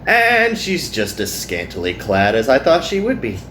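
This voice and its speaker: A man's voice: mid-range voice, wildly swooping pitch, moderate pace, fairly bright tone. A man doing a casual visual novel playthrough, reading aloud and adding joking, self-deprecating commentary. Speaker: let's player. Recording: roomy echo, some background noise.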